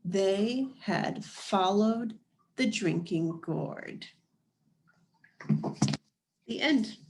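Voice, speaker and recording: Slow-paced woman reading a storybook aloud. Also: mid-range voice, slight background noise, rough recording. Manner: deliberate, measured phrasing with dramatic pauses. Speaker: storybook reader. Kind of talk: scripted narration, children's picture book read aloud.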